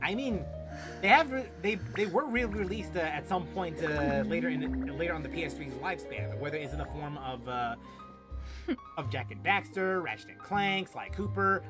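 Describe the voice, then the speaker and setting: A young man streaming games, chatting casually in a rambling, halting way and repeating phrases for emphasis. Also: on the high side. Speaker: gaming streamer. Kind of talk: casual, rambling gameplay chat. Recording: game music behind.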